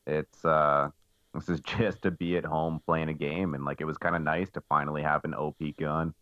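A man's voice: a bass voice, flat delivery, moderate pace, pushed and bright, no echo, highs cut off. An easygoing man in casual gaming chat, often restarting his sentences.

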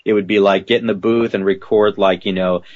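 A man who works as a voice actor, answering interview questions in a lively way and slipping into character voices as he tells stories. His voice is slightly deep. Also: fairly quick, highs cut off. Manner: animated, drops into character voices while telling stories.

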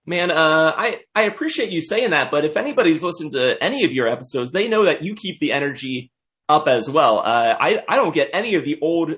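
A hoarse young man hosting a podcast, speaking casually and hesitantly. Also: a little high, expressive, a little fast, a bit bright. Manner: hesitant, lots of uh fillers. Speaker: podcast host.

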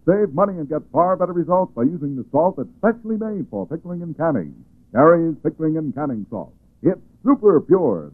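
A man announcing sponsor messages in 1940s radio style, with polished, emphatic salesmanship. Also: a little high. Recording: tinny, band-limited 1940s radio recording.

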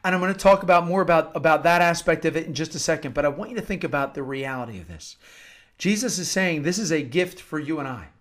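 High-voiced American man preaching earnestly. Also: fast, fairly bright tone. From United States. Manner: earnest, emphatic, repeats phrases for emphasis. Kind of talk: informal devotional talk with scripture-like readings.

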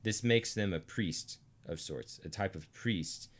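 An American man from Arkansas teaching theology conversationally, speaking deliberately and emphatically with pauses for effect. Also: deep voice. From Arkansas, United States. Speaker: teacher and co-host of a theology series.

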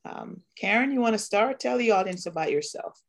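A woman in her fifties from Guyana hosting a friendly panel conversation, talking in an unhurried way with a few hesitant restarts. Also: mid-range voice, animated. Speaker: host.

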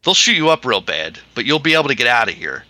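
A man running a tabletop game as game master, narrating in a laid-back, hesitant way with many pauses. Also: medium pitch, sing-song, pushed and bright, no echo.

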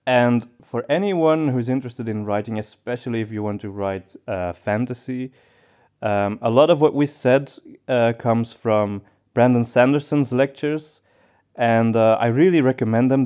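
A man's voice: medium pitch, measured pace, dark tone, clean tone. A man hosting a podcast, talking casually in a hesitant, conversational way. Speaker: podcast host.

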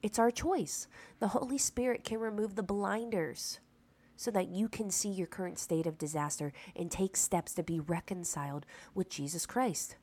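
A woman's voice: mid-range voice, normal speed, darkish tone. A woman hosting a preaching-style podcast, earnest and emphatic, a little sick, checking in with the listener through rhetorical asides. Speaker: podcast host.

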